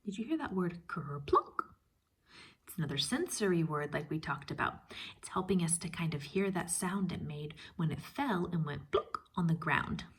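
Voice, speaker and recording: Husky, low-voiced woman reading to children. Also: moderate pace. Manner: expressive, explanatory, asking questions. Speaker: first grade teacher. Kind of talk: children's storybook read-aloud with teaching asides.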